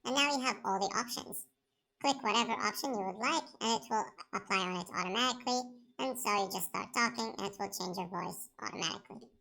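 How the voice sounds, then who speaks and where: A woman hosts a software tutorial, walking through each step in plain, repetitive instructional phrasing. Her voice is bright and forward, with a noticeable creaky fry running through it. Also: medium pitch, fast talker, low-quality recording. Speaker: tutorial host.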